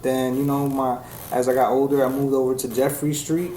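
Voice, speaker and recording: Relaxed slangy man, roomy sound. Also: medium pitch, brisk. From New Jersey, USA. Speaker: guest, music engineer. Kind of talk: casual interview. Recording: echoey room with faint noise.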